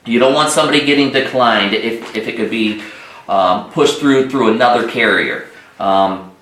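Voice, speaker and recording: A man's voice: mid-range voice, a bit bright. A man hosting a training call, giving direct, instructional sales coaching and repeating points for emphasis. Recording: echoey room livestream.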